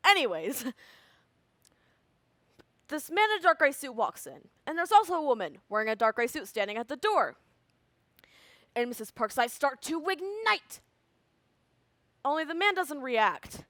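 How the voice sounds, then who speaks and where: A young woman playing an animated, anxious school student, telling a story emphatically with dramatic pauses in a theatrical monologue. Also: sits high, no echo, heavily acted. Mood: uneasy suspense.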